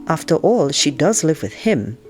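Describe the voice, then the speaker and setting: A woman's voice: sits low, sing-song, normal speed, faint music behind. A woman reading a storybook aloud as an outspoken, indignant teenage girl, in a frank, conversational and sarcastic manner. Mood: frank, musing. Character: outspoken, indignant teenage girl narrating her family life. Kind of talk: first-person audiobook read-aloud.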